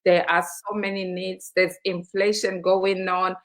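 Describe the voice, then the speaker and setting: A woman's voice: on the low side, flat delivery, a little slow, a bit bright. A woman from Zambia co-hosting a live-streamed motivational talk show from a studio, speaking enthusiastically and persuasively with many fillers. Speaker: co-host.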